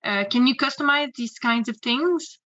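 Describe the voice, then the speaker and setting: A woman's voice: high-pitched, normal speed, sharp tone, no echo, muffled recording. A woman on a video call panel asking a question, hesitant with fillers. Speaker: guest panelist. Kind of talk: casual video call interview.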